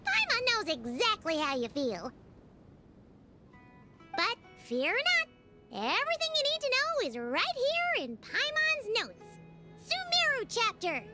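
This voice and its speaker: Squeaky, chirpy little fairy girl. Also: huge pitch swings, a little slow, loud music behind the voice, exaggerated voice. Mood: cheerful, confident. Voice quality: squeaky, childlike. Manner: speaks of herself in third person, perky. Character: small chirpy fairy girl companion and guide. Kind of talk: anime game voice acting.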